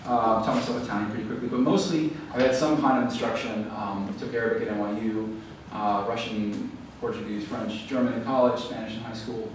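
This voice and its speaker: A man answers audience questions at a library event, talking quickly and explaining at length with plenty of ums and restarts. He is heard from a distance in a very echoey hall, with steady room noise underneath. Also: lowish voice. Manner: thoughtful, explanatory, frequent fillers and restarts. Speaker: author speaking at a library event.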